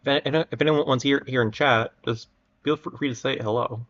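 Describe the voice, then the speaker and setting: A man hosting a livestream, chatting casually with viewers in a halting, often stammering way. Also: medium pitch, steady tone, fairly bright tone, muffled recording. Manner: halting, frequently stammering over words. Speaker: livestream host.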